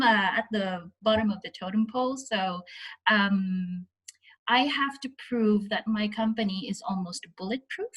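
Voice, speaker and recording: A woman's voice: medium pitch, fairly bright tone, slightly rough, low-quality recording. A woman speaking candidly and reflectively as a panellist on an online call. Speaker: founder and artist, panellist.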